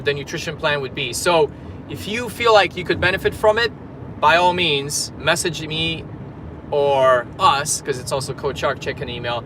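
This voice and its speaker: A man's voice: a little high, sharp tone, a little hiss or hum. A man from Poland, a fitness coach, chatting casually on a livestream while driving and reading viewer comments aloud. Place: in a car in traffic.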